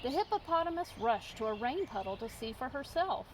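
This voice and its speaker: A woman reads a children's story aloud in a fairly high, very lively voice. She tells it with measured emphasis and clear expression. Faint noise sits in the background. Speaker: storybook reader.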